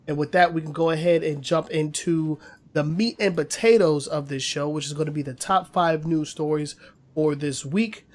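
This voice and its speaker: A man's voice: a little high, normal speed, a little mellow. A man co-hosting a casual gaming news podcast, chatty with many fillers and slightly nasal from a cold. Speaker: podcast co-host.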